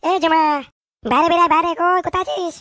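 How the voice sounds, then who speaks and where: An actor voicing a hot-headed, boastful cartoon son in a comic dub, blustering, excitable and repeating phrases. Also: extremely high pitch, fast talker, no echo, clean studio sound. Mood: bossy. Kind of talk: comic dialect cartoon dubbing.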